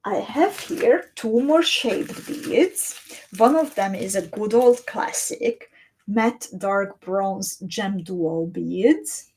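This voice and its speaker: A woman's voice: mid-range voice, animated, drawn-out words, breathy edge, rough recording. A woman hosting a beading livestream, chatting as she shows off beads and answers viewers by name. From Hungary or Slovakia. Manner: chatty and descriptive, warmly addressing viewers by name. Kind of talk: live-stream chat, showing beads and reading viewer comments.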